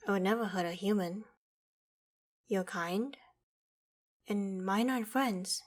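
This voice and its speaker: Woman playing ancient female dragon, proud and vain, unhurried pace, formal theatrical diction, ASMR roleplay. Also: mid-range voice, natural voice. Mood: gentle, reassuring. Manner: formal, haughty, theatrical phrasing. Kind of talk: ASMR roleplay audio drama.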